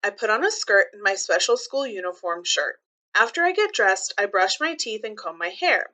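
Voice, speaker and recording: A woman reading a children's book aloud like a teacher, pausing to explain things simply and clearly to young listeners. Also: medium pitch, expressive, sharp tone.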